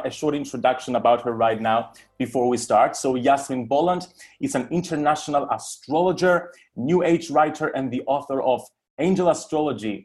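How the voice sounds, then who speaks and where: A man hosting a podcast, speaking articulately and addressing listeners directly as he introduces and runs an interview. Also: medium pitch.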